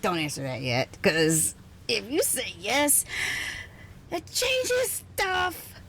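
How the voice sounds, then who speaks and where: A woman voices an exhausted female scientist in an ASMR roleplay, prickly on the surface and softening underneath. Her voice sits fairly high and swings widely in pitch. She takes her time, halting and teasing, and often drops into a whisper. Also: huge pitch swings, a little hiss or hum, exaggerated voice. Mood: hesitant, tender. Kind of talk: ASMR roleplay, intimate one-sided dialogue.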